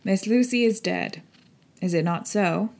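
A woman's voice: medium pitch, unhurried, vocal fry, darkish tone. A woman acting a grave, elderly professor. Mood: earnest. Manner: solemn, earnest, formal. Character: grave, pitying elderly male professor, stern yet kind. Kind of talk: audiobook character acting.

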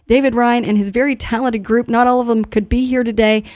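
A woman's voice: a little high, fairly even intonation, brisk, darkish tone, no echo. A woman hosting a radio show, interviewing in a conversational, effusive and complimentary way.

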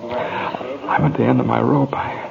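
A man acting a desperate, broken man praying haltingly in a 1940s radio drama, pleading. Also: mid-range voice, little pitch movement, gravelly, very noisy. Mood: despairing. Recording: dull old radio, loud crowd.

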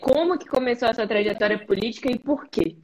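A young woman in her twenties with a Mineiro accent hosts a live-streamed interview. Her voice sits a little higher than most. She explains things in a friendly, fluent way. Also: fast talker, darkish tone, dull audio. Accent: Mineiro Brazilian Portuguese. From Belo Horizonte, Brazil. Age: 20s. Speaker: host. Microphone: home setup, streamed live.

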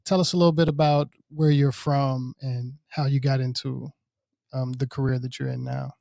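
Gravelly voiced cohost, dry mic, easygoing questions. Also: mid-range voice, level tone, normal speed, warm tone, no room sound. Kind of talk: interview questions.

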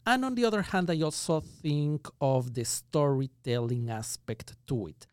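Ecuadorian-accented man, measured and thoughtful. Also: on the high side, darkish tone, smooth, no echo, studio quality. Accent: Ecuadorian Spanish. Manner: thoughtful, explains in measured phrases. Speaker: guest magician. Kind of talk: reflective conversational interview.

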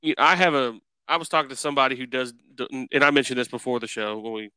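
A man's voice: medium pitch, quick pace, sharp tone, clean tone, no echo, poor audio. A man hosting a casual interview, rambling and circling his way toward a question. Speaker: podcast host interviewer.

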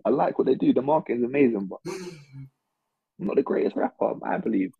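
A man's voice: mid-range voice, sing-song, moderate pace, gravelly, breathy edge, no echo, muffled recording. A British man, a podcast guest, chatting casually in a playful, teasing way and using lots of slang. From United Kingdom. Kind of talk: casual chat.

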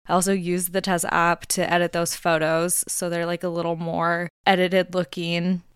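A woman's voice: fairly low pitch, little pitch movement, normal speed, clean tone, no echo, studio quality. A woman in her twenties hosting a casual solo podcast, chatty and rambling with lots of filler words. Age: 20s. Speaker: podcast host.

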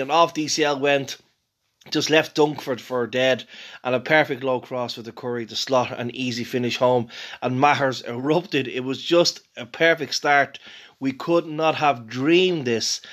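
A man's voice: mid-range voice, a bit bright. A man from Ireland hosting a casual football podcast, talking enthusiastically and chuckling as he reacts to a match. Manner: enthusiastic, chatty, laughs while talking. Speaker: podcast host.

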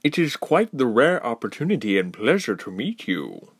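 A composed council spokesman, formal and measured in every line, as the narrator voices him. The delivery sounds a touch distant and expressive, close and dry in the mix. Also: mid-range voice, slightly rough, no room sound, pitched above the performer's own voice.

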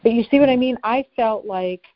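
A woman's voice: on the high side, brisk. A woman being interviewed as a personal development expert, giving earnest, direct advice. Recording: slightly dull, lo-fi audio.

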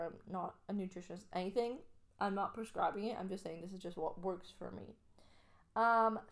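A woman's voice: mid-range voice, gravelly. A young adult woman from Canada, chatting casually and upbeat as a podcast co-host. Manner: chatty, upbeat, lots of fillers. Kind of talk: casual chat.

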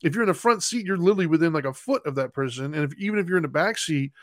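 A man with a somewhat high voice reflects aloud in a relaxed podcast chat. He circles around his point with fillers and restarts, recorded dry and close to the mic. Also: very fast, no echo. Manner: thoughtful, many fillers and restarts. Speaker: podcast co-host. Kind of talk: reflective casual conversation.

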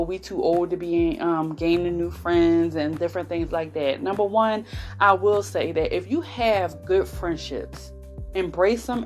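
An American woman speaks as a solo podcast host over background music. Her talk is casual and heartfelt, with a medium pitch. She is earnest and encouraging, leaning on repeated phrases to stress a point.

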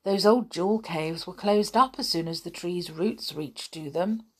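A woman voicing a wise, kindly male tree-dweller in a storybook reading, speaking calmly and gently firm. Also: lowish voice, a little slow, husky.

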